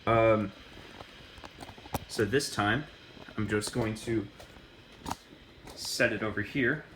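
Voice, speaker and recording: A man giving a casual, unscripted how-to demonstration, halting and often correcting himself as he talks. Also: lowish voice, noisy background. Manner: halting, self-correcting delivery. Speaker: host of a hobbyist demonstration video.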